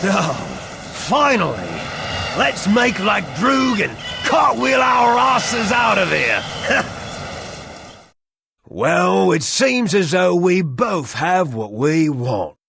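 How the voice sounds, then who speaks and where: A man's voice: high voice, big pitch swings, slow pace, creaky, heavy background noise, heavily acted. A man voicing a theatrical, cowardly comic sidekick adventurer in a video game, hammy and dramatic. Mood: excited. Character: jolly, theatrical, cowardly male adventurer playing ship's captain.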